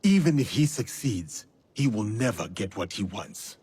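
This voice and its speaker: Stern principled warrior, slightly rough husky tone, measured solemn phrasing, video game drama. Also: male voice, on the low side, sing-song, a little mellow, heavily acted. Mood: resolute, calm. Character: resolute, stern male samurai warrior, principled and steady.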